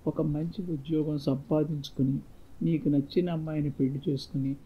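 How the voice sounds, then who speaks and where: A male storyteller voicing an earnest, solemn father who gives measured counsel to his son in a moral tale. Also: fairly high pitch, flat delivery, breathy edge. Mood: tender, confessing. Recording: muffled lo-fi, faint background noise.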